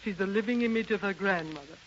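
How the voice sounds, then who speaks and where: A woman's voice: medium pitch, fast, close to an everyday voice. An actress playing a fond, fussy older society grandmother in a 1930s radio drama. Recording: muffled, noisy 1930s radio recording.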